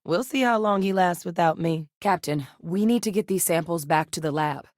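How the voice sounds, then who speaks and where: An actress playing a serious, driven military scientist in a sci-fi video game, speaking in a measured, earnest, dryly wry manner. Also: female voice, on the low side, a little mellow, no echo, clean studio sound, close to an everyday voice. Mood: measured, determined. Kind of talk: acted sci-fi game dialogue.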